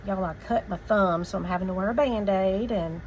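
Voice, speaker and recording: A woman hosting a craft tutorial, giving relaxed, chatty step-by-step instructions in a voice a little croaky from a cold. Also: medium pitch, fairly quick, highs cut off, background noise.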